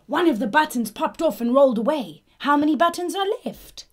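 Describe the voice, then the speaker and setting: A woman's voice: high-pitched, animated, brisk. A woman in her thirties co-hosting a storybook show, reading expressively to young children and putting questions to them. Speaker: co-host reading a children's picture book. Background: music.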